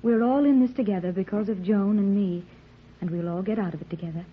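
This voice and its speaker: An actress playing a gentle, composed middle-aged mother, genteel and pleading, in an old-time radio adventure serial. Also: mid-range voice, quick pace, slightly rough, close to an everyday voice. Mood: tender. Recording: muffled, narrow-band old radio recording.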